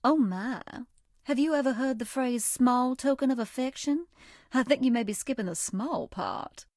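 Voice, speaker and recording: Flirty sweet southern belle for a dating game, higher voice, coy n folksy, very dry recording. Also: female voice, normal speed, a little mellow, no room sound. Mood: affectionate, flirty. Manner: coy, folksy, old-fashioned endearments. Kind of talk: dating game character voice acting.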